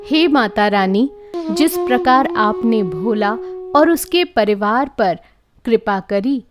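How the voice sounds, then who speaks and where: A woman narrating a scripted devotional moral story in an expressive storytelling manner. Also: a little high, slow. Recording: music bed behind narration. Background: music.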